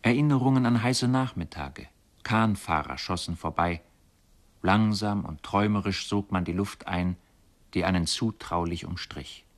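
Radio drama narrator, played by a man: deep male voice, contemplative, measured literary recitation. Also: lightly acted. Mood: reminiscent. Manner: measured, lyrical, solemn. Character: contemplative male narrator recalling river boyhood.

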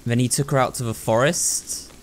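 A man's voice: mid-range voice, steady tone, normal speed, slight background noise. A man hosting a video game playthrough, thinking aloud and talking to viewers in a running commentary. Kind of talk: excitable gameplay commentary.

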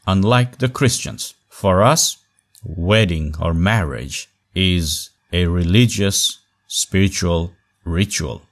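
A man teaching an online class in a voice-over on slides, speaking in short measured phrases with emphatic pauses. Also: sits low. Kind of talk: recorded online class lecture.

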